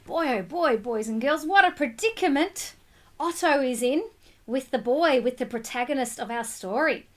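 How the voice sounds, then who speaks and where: Woman, children's storybook reader. High-pitched, very animated, explains things simply and directly to young listeners. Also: moderate pace. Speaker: teacher reading a picture book aloud to children. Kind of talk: animated storybook read-aloud with asides to children.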